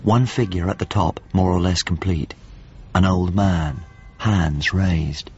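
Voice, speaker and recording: A male actor playing the grave narrator of a radio drama, gravelly, measured and solemn as he describes things in literary prose. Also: deep voice, darkish tone, muffled recording, exaggerated voice. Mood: solemn, observant. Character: grave male narrator recalling paintings and past events.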